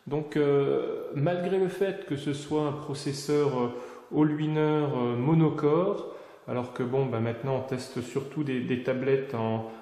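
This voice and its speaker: A man demonstrates a tech product off the cuff. He hesitates and pauses mid-sentence, with an ordinary mid-pitched voice. The room is echoey and adds some reverb. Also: steady tone, warm tone. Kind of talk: unscripted product demonstration commentary.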